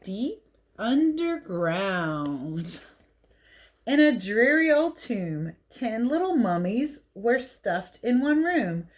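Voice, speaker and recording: Woman, children's read-aloud teacher. Expressive storybook delivery mixed with casual, chatty asides. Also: on the high side. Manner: expressive, chatty, explains as she goes. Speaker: teacher reading picture books aloud.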